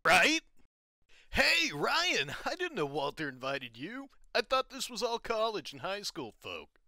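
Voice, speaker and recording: A man's voice: high-pitched, wildly swooping pitch, a little slow, pushed and bright, dry recording, clean studio sound, heavily acted. A man playing a crude, exuberant comedian in his early thirties, theatrical and enthusiastic, in an amateur comic dub. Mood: giddy, excited.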